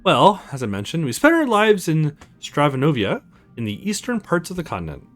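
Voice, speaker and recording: A man's voice: fairly high pitch, wildly swooping pitch, a bit bright, soft background music. Man putting on a courtly ancient vampire lord, theatrical swoops. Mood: courteous, puzzled. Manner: formal, measured storytelling. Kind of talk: visual novel character voice read aloud.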